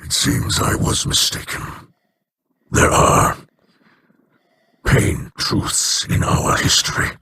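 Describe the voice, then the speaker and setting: An actor playing a grave, weary alien captain and protective father in a video game, speaking formally and haltingly in a gravelly, breathy voice. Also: pushed and bright, slight background noise. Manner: solemn, formal, halting delivery.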